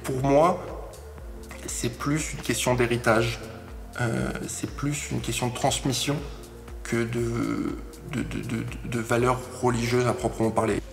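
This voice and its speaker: Brief: young man in his twenties, gravelly, hesitant interview talk, faint music bed. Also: medium pitch, level tone, unhurried. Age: 20s. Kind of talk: documentary interview testimony.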